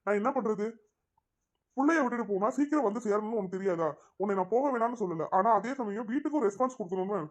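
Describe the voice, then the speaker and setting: A woman's voice: mid-range voice, fast talker, close to an everyday voice. Brief: exasperated cartoon husband, mid voice, voiced by a woman. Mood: lecturing. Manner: exasperated, lecturing. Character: weary young husband caught in the middle. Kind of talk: cartoon voice acting.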